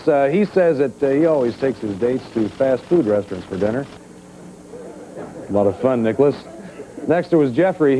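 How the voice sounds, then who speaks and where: A man's voice: medium pitch, warm tone, poor audio. A man hosting a dating show in front of a studio audience, chatty and teasing with the guests. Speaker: game show host. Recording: old TV studio broadcast with noise.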